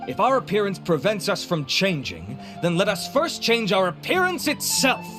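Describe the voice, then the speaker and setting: An actor playing a handsome, vain, suave inventor who gives a grandiloquent, theatrical sales pitch in a video game. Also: male voice, big pitch swings, normal speed. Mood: grandiose. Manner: pompous, grandiloquent. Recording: music bed behind voice.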